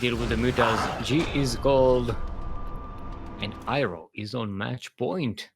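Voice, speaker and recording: A young man with a Singaporean accent, casting a live strategy game match in an animated, chatty way with frequent laughs. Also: mid-range voice, takes it slowly, soft background music. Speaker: esports caster.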